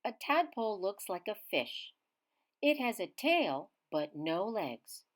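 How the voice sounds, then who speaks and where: A woman reading a children's science book aloud in a teacherly way, emphasizing key words with simple, expressive phrasing. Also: medium pitch, slow. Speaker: children's read-aloud reader.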